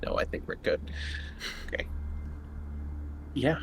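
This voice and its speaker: A man chatting casually as a player in a tabletop game, hesitant and thinking aloud over an online call. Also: takes it slowly. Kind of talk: casual chat during a game. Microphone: online group call. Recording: faint background noise on online call.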